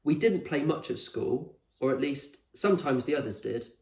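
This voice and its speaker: Guy doing an awkward defensive schoolgirl in a storybook, pitched up, kinda hesitant, roomy. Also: expressive, moderate pace, darkish tone. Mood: defensive, reluctant. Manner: suspicious, hesitant, exclamatory. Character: awkward, defensive, easily alarmed young schoolgirl. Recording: echoey room.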